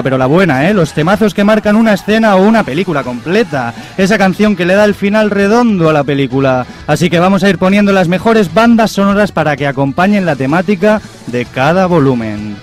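A man's voice: high voice, animated, fairly quick, soft background music. A young man with a Spanish accent presenting as co-host of a radio show in a studio.

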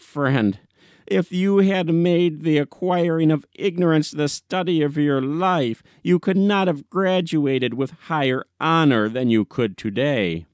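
A man's voice: mid-range voice, smooth, no room sound, clean studio sound. A man reading an audiobook, playing an indignant, exasperated chief editor who scolds in a lofty, emphatic way. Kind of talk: dramatic audiobook reading of classic comic short story.

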